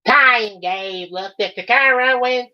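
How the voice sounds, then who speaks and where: A man's voice: very high-pitched, unhurried, pitched above the performer's own voice. A man voicing a brash, matey Australian storyteller in a theatrical reading of fan fiction. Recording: slightly dull, lo-fi voice recording.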